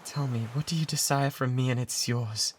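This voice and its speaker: A man acts out a lovesick British pirate, utterly devoted and self-abasing, in an intimate ASMR roleplay. His mid-pitched voice pleads with breathy fervour close to the mic, over noticeable background noise. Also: steady tone, normal speed, natural voice. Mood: reverent, desperate. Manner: fervent, breathy pleading that often drops toward whispers. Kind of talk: romantic ASMR roleplay acting. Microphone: close binaural microphone.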